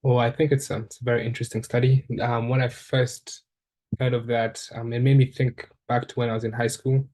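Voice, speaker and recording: A young man from Uganda talks through his memories in an unhurried, reflective way, often pausing with ums and restarting his sentences. His voice stays level and even, with a warm, mellow tone that never sharpens. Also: medium pitch. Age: young adult. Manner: thoughtful, with frequent ums and restarts. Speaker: interviewee, undergraduate student. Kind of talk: reflective casual conversation.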